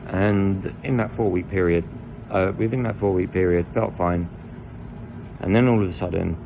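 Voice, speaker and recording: Croaky low-voiced man. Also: noisy background. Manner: rambling with restarts and asides. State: croaky voice from lying down. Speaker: vlogger telling his own story. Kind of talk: candid personal storytelling. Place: indoors lying down at home.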